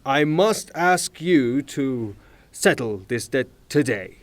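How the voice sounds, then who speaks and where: An actor playing a blustering, irascible retired officer and landowner in a theatrical audio drama, with formal manners and emphatic, exasperated delivery. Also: male voice, medium pitch, expressive, takes it slowly, dry recording. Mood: formal, pressing. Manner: emphatic, formal address breaking into exasperated outbursts.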